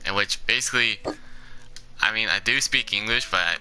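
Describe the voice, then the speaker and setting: A man's voice: on the low side, normal speed, sharp tone, soft background music, poor audio. A young man giving casual, rambling commentary while playing a game, leaning on "basically" and laughing often. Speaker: gaming YouTuber.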